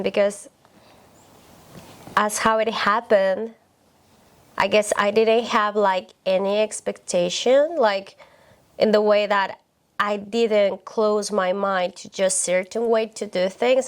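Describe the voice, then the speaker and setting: A woman speaking English as a second language, telling a story in a warm, heartfelt conversation and searching for her words. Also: medium pitch, smooth. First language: not English. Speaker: guest.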